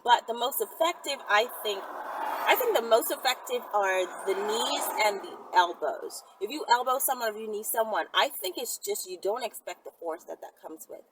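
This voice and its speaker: A woman's voice: on the high side, lively intonation, normal speed. A woman hosting a casual question-and-answer video, speaking in a frank, conversational and emphatic way. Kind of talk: casual chat. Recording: distant mic with clear background noise.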